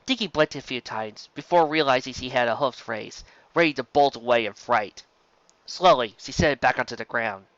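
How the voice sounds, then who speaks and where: Story reader for audiobook fiction. Lively, swooping pitch, dry lo-fi sound. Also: normal speed, dry recording. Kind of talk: scripted narration, reading a story aloud.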